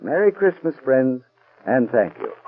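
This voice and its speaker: A man's voice: sing-song, unhurried, narrow, tinny audio. A folksy heating salesman. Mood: cordial. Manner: folksy, warm-hearted sales pitch. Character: friendly male heating expert giving greeting. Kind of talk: 1940s radio ad. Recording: old radio broadcast, thin band.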